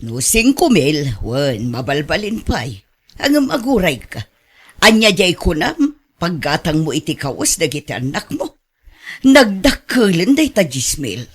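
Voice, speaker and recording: A frank older auntie played by a woman in a radio drama. Her pitch swings widely as she questions, in an Ilocano Filipino accent. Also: very animated, unhurried, slightly rough, heavily acted. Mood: cautious. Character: older, frank, questioning aunt who lends money.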